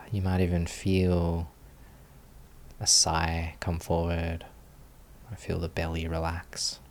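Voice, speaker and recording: Low, slow-talking male meditation guide. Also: slight background noise. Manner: calm, soothing, with long pauses. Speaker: meditation teacher.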